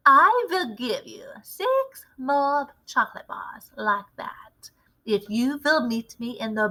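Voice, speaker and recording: A woman voicing an imperious, gloating Grand High Witch with a theatrical, deliberate, commanding delivery in a storybook reading. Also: sharp tone, full character voice, low-quality recording. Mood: sly, scornful.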